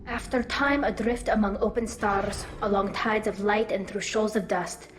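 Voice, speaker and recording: A woman's voice: medium pitch, fairly even intonation, moderate pace. A woman playing an earnest, anxious young alien engineer in a video game, speaking precisely through a helmet filter. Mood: formal. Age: young adult. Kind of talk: video game cutscene acting. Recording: echoey hall, noisy ambience behind.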